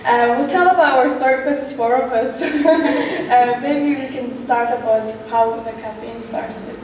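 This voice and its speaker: A young woman from northern Iraq, a Kurdish speaker, giving a measured, plainly worded public talk on a panel. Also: high-pitched, slight background noise. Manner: even, measured, plain wording. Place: large conference hall. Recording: echoey hall from far off.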